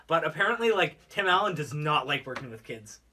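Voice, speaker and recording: A man's voice: fairly high pitch, sing-song, fairly quick, far from the mic, crowd noise. A man co-hosting a podcast in casual, unscripted chat, rambling along with lots of "like" as filler. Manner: rambling, filler-heavy with frequent "like". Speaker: podcast co-host.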